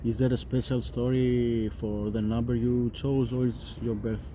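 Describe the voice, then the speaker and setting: A male sports interviewer asks short, easygoing questions at a slow pace in a mid-range voice. The recording carries clear background noise. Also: medium pitch, flat delivery. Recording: noisy background.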